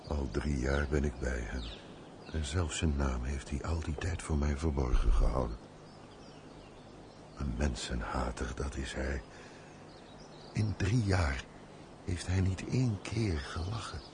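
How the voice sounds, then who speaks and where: Very deep-voiced, earnest manservant. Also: male voice, a little slow, long pauses. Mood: troubled concern. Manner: formal, earnest, theatrical. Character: earnest, deferential manservant to a gloomy master. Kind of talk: theatrical Dutch radio play acting. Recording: noisy old radio play recording.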